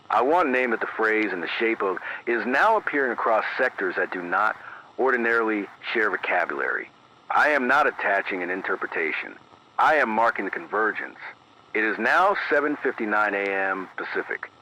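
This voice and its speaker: A man playing a grave, measured emergency radio announcer, reading scripted horror bulletins in a formal, deliberate, careful manner. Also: lowish voice, pushed and bright, low-quality recording. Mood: grave, uneasy restraint. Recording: band-limited radio sound with hiss.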